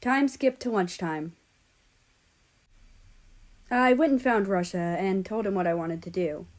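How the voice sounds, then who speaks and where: A woman reading fiction aloud as an expressive narrator. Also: medium pitch, a little slow. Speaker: story narrator.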